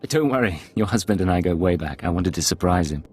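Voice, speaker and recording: A man's voice: deep voice, animated, quick pace, a little mellow, slightly rough, faint music behind. A man playing a cool, calculating secret agent in a video game, controlled and understated. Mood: smoothly polite. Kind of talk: video game dialogue acting.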